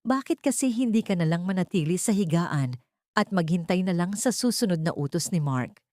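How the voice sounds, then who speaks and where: A woman's voice: on the low side, lively intonation, moderate pace, darkish tone, dry recording, clean studio sound, natural voice. A woman voicing a scornful young female rival who talks down to another woman, in an audiobook drama. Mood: mocking. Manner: sneering, condescending.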